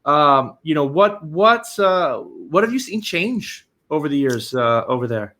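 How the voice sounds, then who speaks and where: A man's voice: fairly high pitch, big pitch swings, unhurried, pushed and bright. A man hosting an interview, asking long rambling questions with many restarts. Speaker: podcast host.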